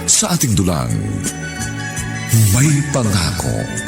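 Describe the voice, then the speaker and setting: A man's voice: low voice, huge pitch swings, takes it slowly, vocal fry. A man announcing a radio drama in a dramatic scripted style. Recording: loud music behind.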